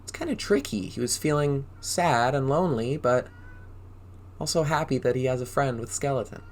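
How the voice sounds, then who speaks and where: A man hosts an interactive storytime for small children in a very animated voice. He is expressive, swinging his pitch widely, and keeps prompting his listeners to join in. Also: moderate pace. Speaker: preschool acting teacher, storytime host.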